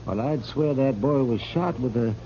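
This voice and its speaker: An actor playing a flustered, garrulous older frontier doctor in a radio western, stammering and emphatic. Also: male voice, mid-range voice, moderate pace, warm tone, close to an everyday voice. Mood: wry, insistent. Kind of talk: 1950s radio western acting. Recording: muffled, noisy old radio recording.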